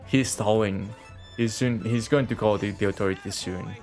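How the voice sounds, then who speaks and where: A man's voice: fairly low pitch, fairly even intonation, normal speed, a little mellow. A man giving casual, sarcastic play-along game commentary, swearing freely. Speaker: game streamer. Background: video game music.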